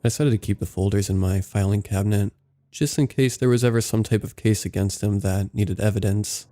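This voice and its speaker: A man narrating submitted true scary stories in a steady, low-key reading. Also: a little fast. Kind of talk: scripted horror story narration.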